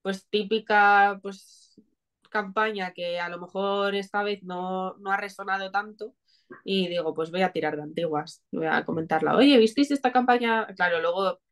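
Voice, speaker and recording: A woman's voice: mid-range voice, normal speed. A woman in her twenties with a Spain Spanish accent, chatting informally as an interview guest on a video call. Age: 20s. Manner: informal, conversational. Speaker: interview guest, marketing specialist. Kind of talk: casual chat. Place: small home room, indoors.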